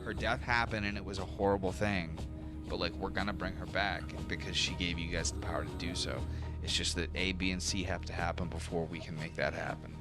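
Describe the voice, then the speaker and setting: A man with a deep voice serves as game master for a fantasy tabletop session. He sets scenes in a vivid, dramatic way over clear background music. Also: steady tone, fairly quick.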